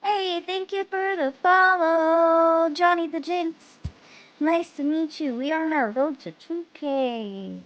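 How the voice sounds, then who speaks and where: A woman's voice: very high-pitched, takes it slowly, dull audio. A woman hosting a livestream, chatting casually between parts of a game readthrough. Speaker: livestream host. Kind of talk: casual stream chat between readthrough lines.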